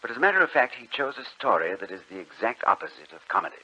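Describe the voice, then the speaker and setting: A man's voice: medium pitch, very animated, racing, pushed and bright, slightly rough. A man working as a radio announcer, reading a script in a polished, formal old-time broadcast style. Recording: dull old radio recording.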